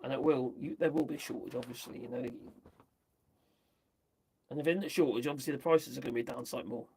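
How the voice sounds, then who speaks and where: A man from the UK, chatting his way through the news on a livestream, rambling and tossing in tag questions, his voice going hoarse. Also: medium pitch, fast talker, a little mellow, a little hiss or hum. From United Kingdom. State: losing his voice. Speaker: livestream host. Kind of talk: casual commentary on news.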